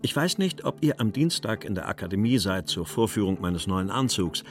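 A male post-war writer with a low voice, reading his own letters and verse. He sounds weary and wry, and articulates each phrase with care. Music plays clearly behind him. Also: very animated. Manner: measured, carefully articulated. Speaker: actor reading letters and poems. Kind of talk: literary reading of letters and verse for a radio feature.

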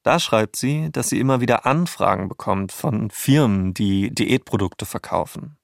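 Creaky standard German man narrating. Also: lowish voice, normal speed, no echo, studio quality. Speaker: podcast co-host and narrator. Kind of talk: scripted podcast narration. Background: music bed.

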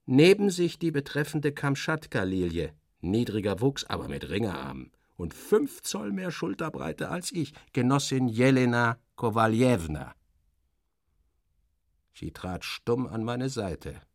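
A man reading a literary satire as a dramatic audiobook narrator, expressive and theatrical, acting out the dialogue. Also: mid-range voice, measured pace, dry recording, clean studio sound.

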